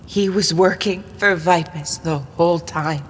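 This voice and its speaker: A woman's voice: on the low side, unhurried, in a large hall, slight background noise, natural voice. A woman playing a wounded, loving heroine in a fantasy audio drama, tender and strained. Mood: pained tenderness.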